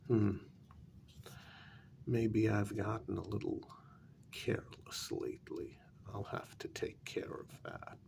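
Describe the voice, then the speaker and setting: Guy doing a snooty vampire for asmr, super slow n croaky, soft breathy half whisper, formal and talks down to humans. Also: lowish voice, lively intonation, exaggerated voice. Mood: wry, measured. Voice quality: breathy, gravelly near-whisper. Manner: slow, deliberate, formal, condescending. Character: haughty, dryly amused male vampire, predatory yet civil. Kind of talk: soft-spoken ASMR role-play.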